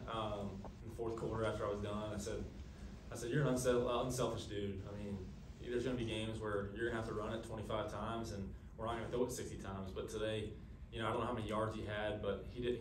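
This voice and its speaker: A young man answering questions at a postgame press conference, earnest and quick, with lots of fillers. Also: lowish voice, flat delivery, background noise. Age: young adult. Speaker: college quarterback. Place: press room, seated at a table. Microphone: table mic, camera at a distance. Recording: echoey room, from a distance.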